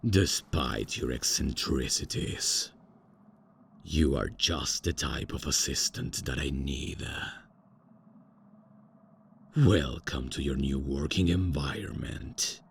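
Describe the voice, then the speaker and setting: A man performs an eccentric vampire count, stuck in old ways and full of his own importance. The voice sits extremely low and rough with gravel, and the delivery is unhurried and formal. Grand pronouncements keep getting tripped up by nervous fillers and hasty self-corrections. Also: expressive, a little hiss or hum, heavily acted. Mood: pompous. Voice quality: deep and gravelly. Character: pompous old-fashioned male vampire count, eccentric and out of touch. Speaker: voice actor. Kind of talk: ASMR roleplay audio.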